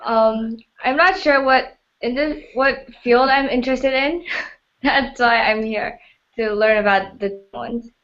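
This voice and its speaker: A woman's voice: fairly high pitch, takes it slowly, fairly bright tone. A woman student introducing herself hesitantly on a video call. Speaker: student participant. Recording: muffled video-call audio.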